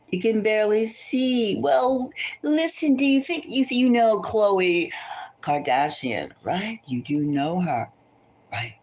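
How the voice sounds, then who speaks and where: A woman's voice: mid-range voice, darkish tone. A woman tarot reader chatting casually and thinking aloud, gossipy and opinionated, often rambling and repeating her phrases. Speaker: tarot reader hosting a celebrity psychic reading.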